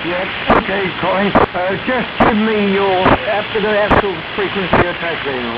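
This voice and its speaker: A man's voice: sits high, normal speed. An older man chatting casually and reflectively as an amateur radio operator over a shortwave radio transmission. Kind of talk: reminiscing casual radio chat. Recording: muffled shortwave radio with static.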